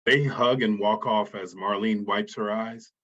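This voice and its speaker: A man with a husky voice reading scripted narration as stage directions, on a slightly muffled recording. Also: on the low side, steady tone, a little slow, a bit bright.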